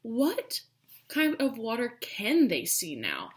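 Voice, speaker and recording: A woman teacher reads a picture book to small children. Her pitch rises and falls a great deal and her pace is unhurried. She is emphatic and often thinks aloud between phrases. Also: huge pitch swings. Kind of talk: children's storybook read-aloud with questions.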